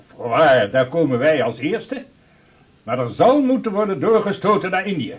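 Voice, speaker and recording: An actor in a Dutch radio drama playing a pompous, pious, greedy merchant with a declamatory delivery. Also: male voice, fairly high pitch, lively intonation, normal speed, lightly acted. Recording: slightly dull old radio sound.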